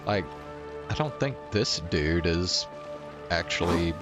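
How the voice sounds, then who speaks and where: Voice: deep voice, expressive, slow, over music. A game streamer giving casual, blunt and sweary commentary while playing. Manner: blunt, sweary, hesitant musing.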